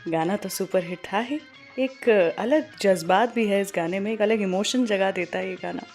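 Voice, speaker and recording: A woman with an Indian accent hosts a radio show in a conversational, storytelling manner. Her voice sits at an average pitch and she slips English words into her talk. Music plays behind her. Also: moderate pace, a little mellow. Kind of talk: radio show presenting with film trivia. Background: background music.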